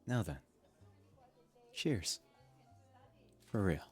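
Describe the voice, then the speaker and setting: A man acting as a sweet, eager young guy on a meet-cute date in an intimate ASMR audio drama. His voice is very low and husky, and he rambles in a gentle, personable way. Soft music plays along with him. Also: big pitch swings, very slow, exaggerated voice. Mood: easygoing, pleased. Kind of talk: ASMR roleplay, intimate scripted romance acting.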